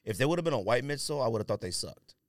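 A man's voice: medium pitch, animated, rapid-fire, no echo, studio quality. An American man co-hosting a sneaker podcast in casual banter, slangy, opinionated and emphatic. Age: adult, parent of a young child.

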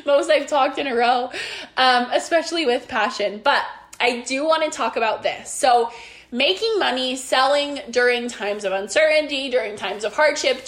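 A woman's voice: fairly high pitch, normal speed, fairly bright tone. A young woman coaching on business and belief in a casual, earnest monologue, rambling with restarts, her voice worn after being sick.

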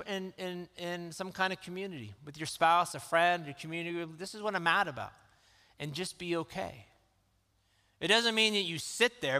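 A man preaching a sermon in a calm, conversational way that rises into emphatic questions. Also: on the high side. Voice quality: calm, soothing voice. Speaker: pastor. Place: church sanctuary. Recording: long reverb of a church hall.

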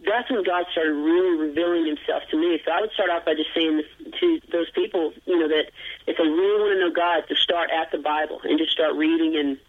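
High-voiced man on a tinny phone line. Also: fairly even intonation, a little fast. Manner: rambling and earnest, with many fillers and restarts; self-deprecating humor. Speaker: guest. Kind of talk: casual interview chat.

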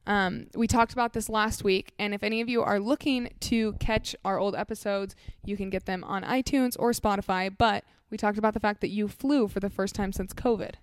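Female podcast co-host, bubbly and eager, smooth clear voice, dry sound. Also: medium pitch, fairly even intonation, fairly quick, few pauses, dry recording. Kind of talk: casual chat.

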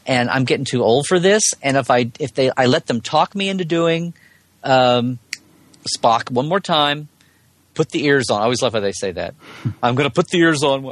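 A man's voice: a little high, a bit bright, dry recording. A man speaking as a podcast guest in a casual, rambling chat about sci-fi, wry and jokey in his delivery. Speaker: podcast guest, Star Trek commentator.

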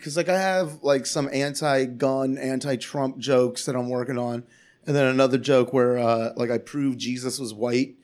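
A man's voice: mid-range voice, fairly even intonation, normal speed, darkish tone. A man, a comedian guest on a podcast, ranting in casual chat with sarcasm and swearing. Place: Portland area.

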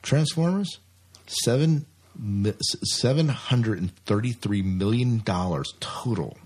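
A man's voice: medium pitch, lively intonation, a little slow, a little mellow, slightly rough. A man co-hosting a podcast, chatting casually about news and repeating himself for emphasis. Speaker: podcast co-host.

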